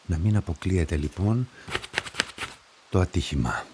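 An actor playing a methodical police investigator who reasons aloud deliberately in a radio drama. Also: male voice, low-pitched, lively intonation, heavy background noise. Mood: pondering.

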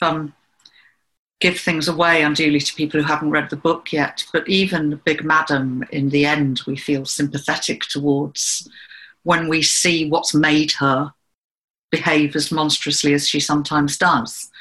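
Bright-toned woman asking rambling questions. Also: deep voice, rough recording. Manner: long winding questions with hesitant restarts. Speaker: interviewer. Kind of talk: interview questions.

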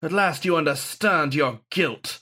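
A man's voice: a little high, unhurried, sharp tone, husky, voice pushed up, exaggerated voice. A man voicing the sneering ghost of a zealous wolfhound saint, declaiming in a pompous, archaic manner. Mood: gloating.

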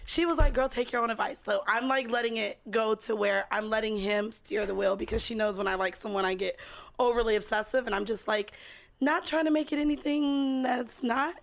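A woman with a raspy voice co-hosting a podcast in casual, slangy, profane and playful banter. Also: fairly high pitch, fairly quick. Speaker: podcast co-host.

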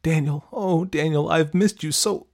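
Man voicing a dramatic lovestruck teenage girl, pitched up. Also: big pitch swings, measured pace, darkish tone, full character voice. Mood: eager. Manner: dramatic, emphatic. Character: lovestruck, defiant seventeen-year-old girl, emotional and pleading. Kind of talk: audiobook character acting.

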